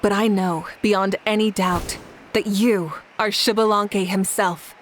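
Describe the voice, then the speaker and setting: A woman as a slow, composed warrior leader. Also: medium pitch, fairly even intonation, a little hiss or hum, close to an everyday voice, poor audio. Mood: thoughtful, calm. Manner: measured, solemn, formal. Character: composed, commanding, perceptive female warrior leader. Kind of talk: animated video game voice acting.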